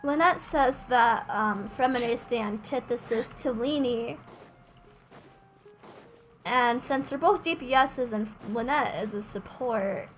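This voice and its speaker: A woman's voice: a little high, a little slow, rough recording. A woman chatting casually and musingly, with some hesitation, as she commentates on a game livestream. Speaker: streamer. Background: faint game music.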